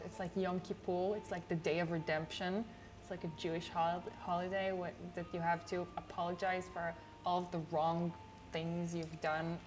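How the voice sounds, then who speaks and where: Chill young israeli woman streaming, rambly and low-key, soft music behind her. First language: not English. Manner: low-energy, chill, rambling with frequent fillers. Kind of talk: casual livestream chat.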